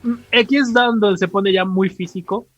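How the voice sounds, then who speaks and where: Man, high-pitched, Mexican accent, informal podcast explainer, halting with self-corrections, faint music in background. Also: steady tone, fairly bright tone, dry recording, lo-fi sound. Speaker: podcast co-host. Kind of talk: casual chat explaining comics lore.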